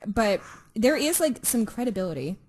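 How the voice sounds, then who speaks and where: A woman's voice: fairly high pitch, big pitch swings, normal speed, heavy background noise. A woman chatting casually as a podcast co-host, in an informal, slangy way with some swearing. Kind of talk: casual chat.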